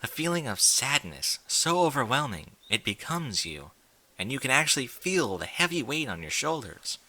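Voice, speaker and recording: A man's voice: medium pitch, sing-song, normal speed, bright, forceful tone, low-quality recording. A man reading fan fiction aloud in an informal, blunt way, with incredulous asides in casual speech. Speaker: fan fiction reader and commentator.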